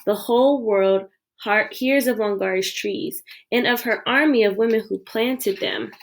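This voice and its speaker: A woman with a slightly high voice reads a picture book aloud. Her delivery is expressive storytelling, shaped for young listeners. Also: a little slow. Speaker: read-aloud reader.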